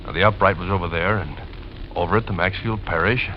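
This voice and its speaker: A man playing a gentle, wistful, polite widower obsessed with recreating his old home, in 1940s radio drama style. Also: deep voice, fairly quick, natural voice. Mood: earnest nostalgia. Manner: polite, earnest, nostalgic. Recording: dull, noisy old radio recording.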